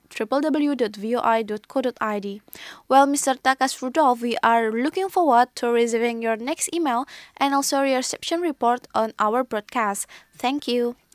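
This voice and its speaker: A woman with an Indonesian accent, reading listener mail on air in a slow, careful, formal way as a radio presenter. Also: fairly high pitch, no echo, clean studio sound. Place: radio studio.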